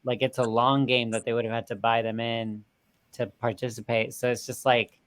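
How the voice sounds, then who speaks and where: A man chatting casually about film as a panelist, halting as he thinks aloud. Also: medium pitch, level tone, dry recording. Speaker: panelist on a film review show.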